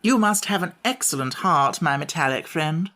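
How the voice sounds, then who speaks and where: A woman's voice: low-pitched, lively intonation, moderate pace, bright, forceful tone, heavily acted. A woman voicing a pompous, overly polite male insect who fancies himself a scholar, speaking in elaborate phrases.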